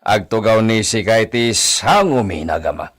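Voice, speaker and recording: A man's voice: lowish voice, expressive, a little slow. A man playing a wealthy, doting father and villa master in a radio comedy drama, theatrical and fond of Spanish exclamations. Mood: calm, authoritative.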